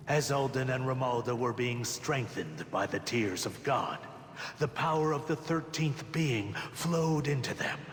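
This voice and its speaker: A man playing a grave, courteous ally in a game cutscene, speaking in a measured, earnest, formal way. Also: medium pitch, a little hiss or hum, natural voice. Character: grave, courteous male ally explaining dark events. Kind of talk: scripted game cutscene dialogue. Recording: long reverb, loud music behind.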